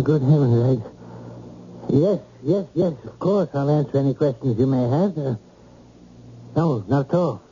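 A man playing a concerned, courteous doctor in an old-time radio drama, measured and formal. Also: medium pitch, dark tone. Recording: dull, hissy old radio recording.

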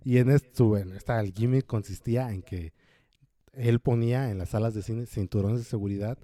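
A man, co-hosting a casual podcast about horror films and explaining things at length in a relaxed way, with plenty of filler words. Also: medium pitch, normal speed. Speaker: podcast co-host.

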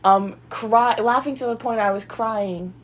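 A woman's voice: fairly high pitch, a little slow. A young woman co-hosting a casual chat, sounding stuffy and congested and rambling with giggles and fillers. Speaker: podcast co-host.

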